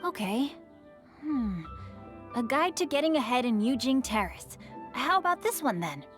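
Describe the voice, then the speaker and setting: A woman's voice: high voice, big pitch swings, unhurried, soft background music. A woman voicing a refined, playful, bookish teenage boy in a video game, with a florid, genteel manner.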